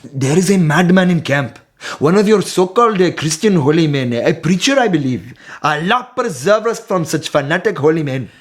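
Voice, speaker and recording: Exasperated, amused scholar-doctor for historical radio drama, male actor: high voice, lively swings, commanding. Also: normal speed, lightly acted. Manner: wry, commanding, theatrical.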